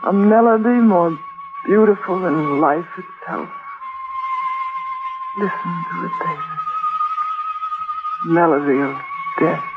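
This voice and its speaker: A woman acting an anguished, murderous yet tender wife maddened by music, in halting, melodramatic 1940s radio drama style. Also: medium pitch, huge pitch swings. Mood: dying, tender. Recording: tinny vintage radio recording with music.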